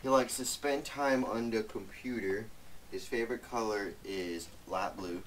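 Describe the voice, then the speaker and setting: Young male co-host, lowish pitch, casual joking banter, loose rambling read, recorded at some distance. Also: measured pace. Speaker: video co-host. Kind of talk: casual banter, reading notes aloud. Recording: noisy, distant microphone with clicks.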